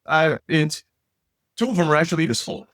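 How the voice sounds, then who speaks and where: A man in his forties who grew up in Turkey and speaks Turkish as his first language, telling stories as an interview guest in a lively, animated way. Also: fairly high pitch, dry recording, clean studio sound. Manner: fast, animated storyteller. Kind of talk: casual interview storytelling.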